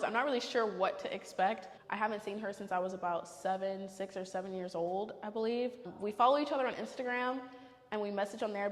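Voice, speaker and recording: A woman's voice: medium pitch, big-room sound. A woman in her twenties hosting her own vlog in a casual, nervous, giggly way that rambles on. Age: 20s. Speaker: vlogger host.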